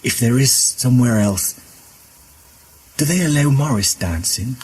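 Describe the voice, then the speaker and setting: An actor playing a resigned, steady First World War soldier comforting his comrade in a radio play, husky and understated. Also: medium pitch, measured pace. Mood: pensive. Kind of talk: naturalistic radio play acting.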